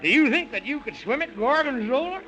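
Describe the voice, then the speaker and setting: An actor playing a fussy, excitable, kindly Man in the Moon in an old-time radio children's serial, exclaiming in a folksy way. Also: extremely high pitch, fairly quick, full character voice. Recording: muffled, noisy old radio broadcast recording.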